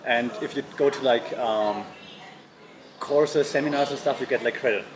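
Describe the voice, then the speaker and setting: A male host talks in a loose, rambling way, often stopping to repeat himself. He is a university lecturer in easygoing conversation. Steady crowd chatter sits behind his voice. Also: medium pitch, normal speed. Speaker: podcast host. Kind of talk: casual chat.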